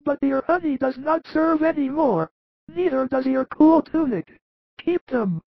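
A man voicing a frail, dying old grandfather, gentle and loving, in a high, thin, trembling voice. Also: expressive, measured pace, vocal fry, no echo, heavily acted. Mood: tender. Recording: dull lo-fi dry recording.

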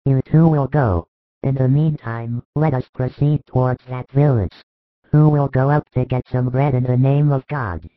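Male reader as a grave, loving spiritual teacher for a storybook; emphatic pleading, mid pitch, narrow-band sound. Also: fairly even intonation, moderate pace, dry recording, natural voice. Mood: calm. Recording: thin phone-like band, dry.

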